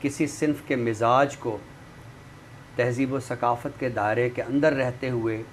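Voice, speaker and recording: A man speaking in a measured, formal, literary way as a commentator discussing books. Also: mid-range voice, steady tone. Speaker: literary commentator and guest expert. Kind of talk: literary talk and book review with some poetry recital. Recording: clearly noisy background.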